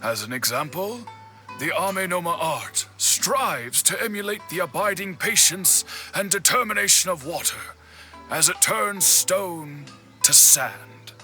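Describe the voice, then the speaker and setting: A philosophical master swordsmith from a video game. His voice is very sharp and forceful, fairly high for a man and expressive, with deliberate, dignified phrasing. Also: exaggerated voice. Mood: reflective, solemn. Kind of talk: scripted video game dialogue. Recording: faint game music behind the voice. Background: faint background music.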